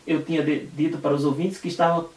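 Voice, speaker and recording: A man with a highish voice chats casually as a radio guest. He sounds a little away from the mic in an echoey space. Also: little pitch movement, quick pace, darkish tone, distant mic. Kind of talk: casual travel chat.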